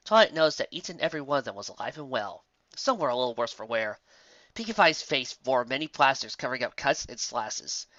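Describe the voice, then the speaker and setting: A woman reads fiction aloud as narrator. Her voice is low but forceful, with animated delivery. The home recording sounds a little dull. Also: no room sound. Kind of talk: expressive story reading.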